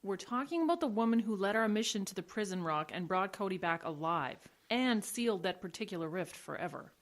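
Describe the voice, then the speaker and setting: A woman's voice: medium pitch, animated, a little fast, natural voice. An actress playing a curious young woman in an audio drama, blunt and inquisitive. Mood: earnest.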